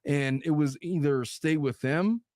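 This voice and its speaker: A man's voice: on the high side, measured pace, a little mellow, no echo. Middle-aged guy hosting a livestream podcast, swears a lot, talks straight, very dry close sound. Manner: blunt, profane, reads chat comments aloud. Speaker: podcast host. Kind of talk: casual livestream chat.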